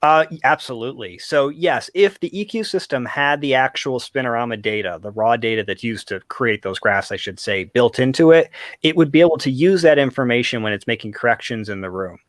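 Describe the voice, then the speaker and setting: A man's voice: medium pitch, fairly quick, dry recording. A man giving detailed technical explanations in conversation as an expert guest, carefully qualifying his points.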